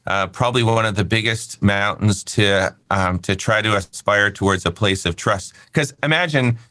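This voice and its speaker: A man's voice: on the low side, normal speed, bright, forceful tone, no echo. A man in his early fifties, a company founder, talking candidly as an interview guest, rambling a little and laughing easily. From Canada. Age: 50s. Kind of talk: casual interview chat.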